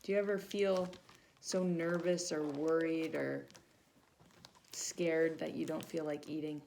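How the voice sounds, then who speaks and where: A woman reading a children's story aloud expressively, pausing to chat with young listeners. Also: low voice, fairly even intonation, creaky, a little mellow, a little hiss or hum. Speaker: volunteer storybook reader for children.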